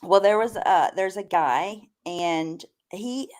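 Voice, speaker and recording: A woman's voice: mid-range voice, slow, a little mellow. A woman chatting casually on a live stream as a panelist, blunt and opinionated, swearing freely. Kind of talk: casual gossip chat.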